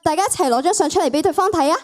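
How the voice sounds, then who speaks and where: School girl playing know-it-all bestie, hong kong cantonese, very high voice, teasing and pushy, radio play. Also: rapid-fire. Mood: boastful. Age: teenager. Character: bossy, trend-savvy female friend, teasing know-it-all. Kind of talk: student radio drama acting.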